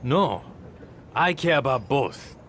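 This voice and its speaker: Smug, animated cocky young wrestler. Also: male voice, medium pitch, takes it slowly, slight background noise. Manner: smug, dismissive, self-absorbed. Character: cocky, vain young male wrestler obsessed with social media. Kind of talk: video game cutscene acting.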